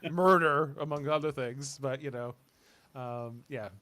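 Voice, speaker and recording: A man's voice: medium pitch, measured pace, pushed and bright. A man hosting a podcast in casual chat, rambling and hesitant, with a joking way of talking. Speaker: podcast host.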